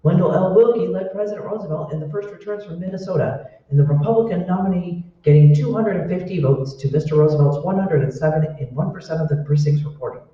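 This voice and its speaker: A man playing an earnest 1940s radio election newsreader with a measured, formal delivery. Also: sits high, close to an everyday voice. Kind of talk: formal scripted news bulletin. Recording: very echoey, distant dull mic.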